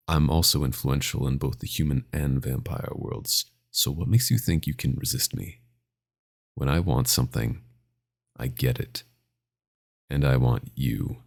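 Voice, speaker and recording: A man playing a possessive, domineering vampire doctor in a scripted ASMR roleplay, speaking in an intimate, condescending and menacing way. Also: full character voice. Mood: menacing, commanding. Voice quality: very deep, gravelly, often breathy.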